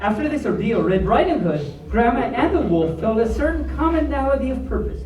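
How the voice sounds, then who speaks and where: A storyteller of unclear sex reading a bedtime story aloud to a small audience in an animated style. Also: mid-range voice, fairly quick. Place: echoey room at a convention. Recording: echoey room, camera mic far off.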